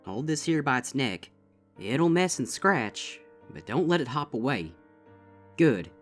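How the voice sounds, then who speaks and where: A man's voice: mid-range voice, sing-song, measured pace, soft background music, voice pushed up. A man voicing a stern, protective older-sister farm pony with a drawl. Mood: solemn. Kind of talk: fanfic audiobook reading.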